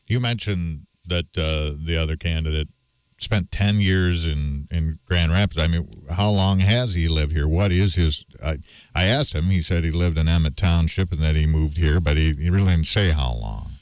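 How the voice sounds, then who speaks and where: Male morning-show host, very low and smooth, dry studio sound, measured questioner. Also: dry recording. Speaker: radio host. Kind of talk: radio interview.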